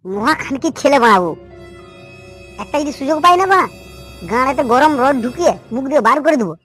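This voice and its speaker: A high-voiced young cartoon layabout, played by a woman, brash and resentful. He grumbles and swears like a put-upon worker with a grudge. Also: expressive, fast, fairly bright tone, faint music behind. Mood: vengeful. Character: foul-mouthed, resentful young male slacker worker with big threats. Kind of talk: Bengali comedy cartoon dub.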